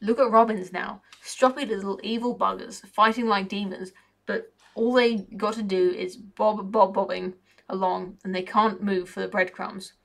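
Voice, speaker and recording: A woman voicing a cynical, chatty male raven who grumbles in rough, colloquial speech. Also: medium pitch, fairly even intonation, moderate pace. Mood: grumbling.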